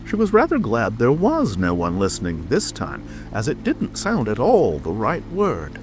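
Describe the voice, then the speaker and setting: A man narrating a children's storybook in an expressive, dramatic scripted reading. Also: mid-range voice, moderate pace, rough recording. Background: music.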